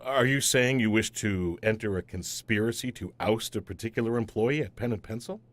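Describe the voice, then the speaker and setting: Man playing a stiff, deadpan company auditor. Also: lowish voice, close to an everyday voice. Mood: deadpan, probing. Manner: stiff, deadpan, halting, officious. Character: formal, humourless, hesitant male company auditor. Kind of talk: audio comedy acting.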